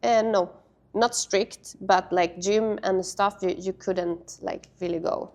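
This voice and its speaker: Swedish-raised woman, halting casual speech. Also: mid-range voice, fairly even intonation. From Sweden. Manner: halting, with many fillers and restarts. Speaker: interview guest, padel player. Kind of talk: casual interview.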